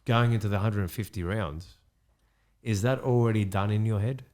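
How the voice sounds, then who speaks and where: A man's voice: lowish voice, normal speed, a little mellow. A man hosting a podcast interview, reflective and supportive, with frequent agreeing murmurs. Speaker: podcast host.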